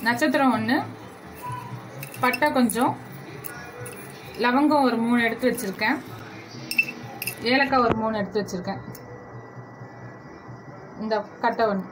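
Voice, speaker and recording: A woman hosting a home cooking video, calmly walking viewers through a recipe step by step in her kitchen. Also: fairly high pitch, over music. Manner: unhurried step-by-step instructions, addressing viewers directly. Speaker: cooking channel host. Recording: noisy home recording.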